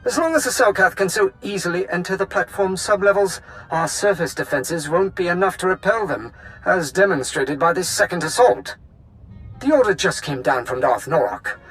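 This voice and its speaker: A stern Imperial colonel from a sci-fi game, with a high voice that moves in wide, lively swings. He speaks formally and in clipped military phrases, over background music. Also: moderate pace, low-quality recording. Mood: businesslike. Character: brisk, officious male Imperial colonel, dutiful and stern. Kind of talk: video game cutscene acting. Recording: music behind the voice.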